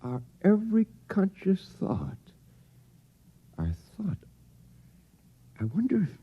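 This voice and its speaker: An actor playing a grim, anxious but determined college professor in a 1950s radio drama. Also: male voice, high voice, huge pitch swings, takes it slowly, breathy edge, heavily acted. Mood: brooding. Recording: dull old radio recording.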